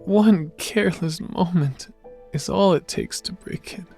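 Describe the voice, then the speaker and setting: Woman as a slow, creaky, wistful pilgrim spirit. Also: fairly low pitch, mellow, soft background music. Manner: slow, solemn. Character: melancholy, wistful revenant pilgrim musing on faith.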